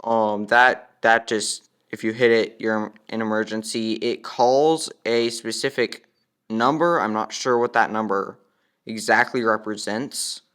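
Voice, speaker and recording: A man's voice: lowish voice, steady tone, measured pace, a bit bright, smooth. A young man giving a casual, matter-of-fact walkthrough as he demonstrates a product.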